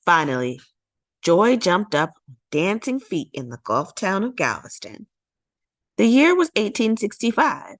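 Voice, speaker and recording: Animated woman reading a children's storybook. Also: on the low side, dry recording, clean studio sound. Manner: emphatic, drawn-out key words, theatrical. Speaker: children's read-aloud storyteller. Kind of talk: dramatic scripted storybook narration.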